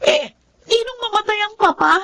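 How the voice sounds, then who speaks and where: High-voiced, conscience-stricken sister. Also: female voice, animated, normal speed, vocal fry, sharp tone, exaggerated voice. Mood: remorseful, pleading. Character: conscience-stricken adult sister in a family dispute. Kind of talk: Tagalog radio drama acting.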